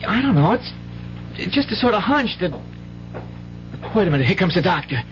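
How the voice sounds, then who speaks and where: A man's voice: sits high, big pitch swings, a little fast. A man playing an anxious, resentful teenage son in a 1970s radio drama, hesitant and stammering. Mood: wary. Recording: dull vintage radio broadcast, loud music.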